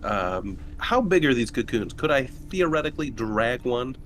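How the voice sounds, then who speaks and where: Animated man with mid-range voice. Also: medium pitch, normal speed. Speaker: tabletop game player. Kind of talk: casual in-character roleplay chat.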